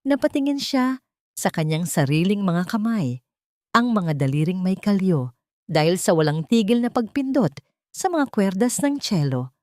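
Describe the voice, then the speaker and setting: A woman narrating a dramatic story in a slow, measured, somber way, close to the mic. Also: medium pitch, lively intonation, a little mellow, no room sound.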